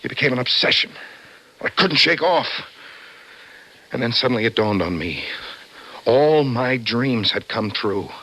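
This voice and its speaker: An actor playing an obsessed, vengeful office worker, intense and brooding, in an old-time radio suspense drama. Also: male voice, medium pitch, lively intonation, unhurried, pauses often, sharp tone, rough recording. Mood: obsessive, intense. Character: obsessed, vengeful office worker confiding his dreams to a doctor. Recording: vintage radio drama, faint music behind.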